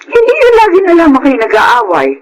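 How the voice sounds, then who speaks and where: A woman playing a caring older grandmother who gently scolds, in a Filipino radio drama. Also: high-pitched, huge pitch swings, brisk. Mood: pleading, admonishing. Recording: noisy radio drama recording.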